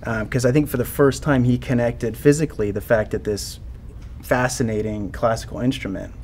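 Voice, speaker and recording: A man in his thirties giving measured, reflective answers in a panel interview. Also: mid-range voice, fairly even intonation, darkish tone, a little hiss or hum. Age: 30s. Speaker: interview panelist, concert producer. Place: indoor studio set.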